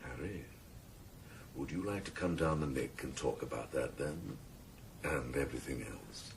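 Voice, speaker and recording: Very deep, sardonic British police chief. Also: male voice, unhurried, gravelly, distant mic, background noise, full character voice. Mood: smooth, menacing. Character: sardonic, slippery senior policeman with ornate, pompous speech. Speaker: actor in a radio drama. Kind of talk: 1990s radio drama acting.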